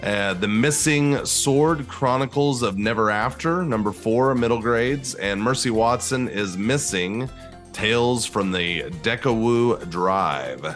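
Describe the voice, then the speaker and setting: A man's voice: medium pitch, slow, a bit bright, soft background music. A man speaking casually as the co-host of a podcast. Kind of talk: casual chat.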